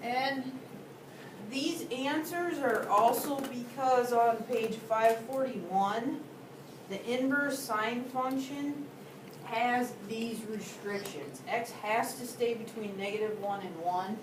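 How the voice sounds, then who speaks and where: Lady math teacher walking the class through it slowly, far off mic, roomy classroom. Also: fairly high pitch. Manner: slow, deliberate explaining to students. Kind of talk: classroom lecture. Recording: echoey classroom, distant, noisy.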